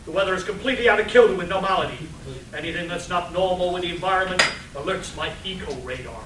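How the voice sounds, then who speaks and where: An earnest superhero of a comic radio play acted by a man, high-pitched and pompous, preaching about his mission with melodrama. The recording is roomy and distant, with clear background noise. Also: fast talker, close to an everyday voice. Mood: earnest, alarmed. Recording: roomy, distant live stage capture, noisy.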